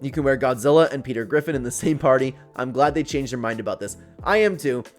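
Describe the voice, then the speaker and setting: A man's voice: medium pitch, expressive, quick pace, faint music behind. A young man giving casual, chatty YouTube-style gaming commentary, talking informally to his viewers. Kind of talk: casual commentary reacting to read-out viewer posts.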